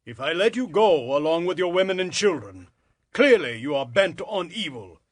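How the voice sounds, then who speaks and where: An actor playing a stubborn, imperious Egyptian king in a dramatized audio Bible, with a husky, commanding voice. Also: on the high side, very animated, normal speed, no echo. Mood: haughty, suspicious. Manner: commanding, haughty.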